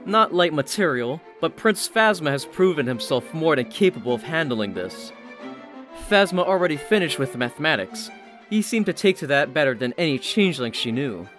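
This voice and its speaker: Higher-pitched male story narrator over music. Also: big pitch swings, normal speed, a bit bright. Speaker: audiobook-style story narrator. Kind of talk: scripted fan fiction narration. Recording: music playing behind the voice.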